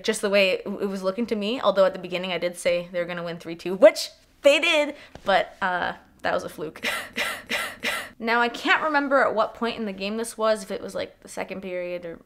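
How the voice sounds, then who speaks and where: A young woman with a stuffy cold, casually reviewing a hockey game in an excitable, swearing, animated way. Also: mid-range voice. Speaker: hockey fan vlogger.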